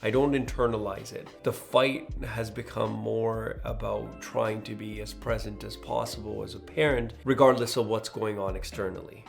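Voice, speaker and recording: A man in his mid-thirties speaking as host in a reflective personal monologue, with an earnest, deliberate delivery. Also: fairly low pitch, steady tone, vocal fry, soft background music. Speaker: host, new father.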